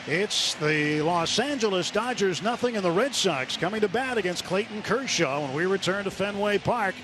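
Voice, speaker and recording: A man's voice: high voice, lively intonation, normal speed. An American man calling a live baseball game as a radio play-by-play announcer. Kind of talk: live sports commentary. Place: stadium broadcast booth. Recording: noisy ballpark radio broadcast.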